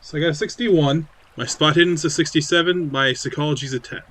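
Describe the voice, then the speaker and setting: A man's voice: fairly high pitch, a little fast, fairly bright tone, a little hiss or hum. A man casually playing a tabletop horror game, moving between table talk and speaking as his character.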